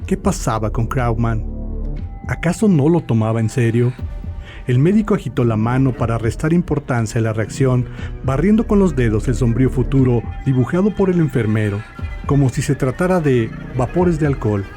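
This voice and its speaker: Male narrator for dramatized fiction, medium-pitched voice, clear music bed behind. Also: animated, normal speed, warm tone. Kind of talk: literary audio drama narration.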